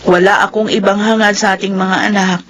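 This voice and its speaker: A woman's voice: mid-range voice, little pitch movement, a little fast, close to an everyday voice. A woman playing a hopeful, weary working mother of twins in a radio drama, earnest and motherly. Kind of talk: naturalistic radio drama acting.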